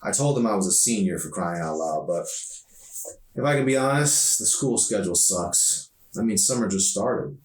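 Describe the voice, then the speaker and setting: A man's voice: on the low side, animated, normal speed, lightly acted. Chatty sardonic teenage girl acted by a man, echoey. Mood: eager, griping. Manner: slangy, emphatic, complaining. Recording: roomy echo, distant microphone.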